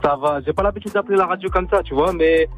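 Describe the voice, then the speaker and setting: A man's voice: sits high, quick pace, faint music behind. A man calling in to a radio show, indignant and insistent as he argues his point. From France. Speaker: caller.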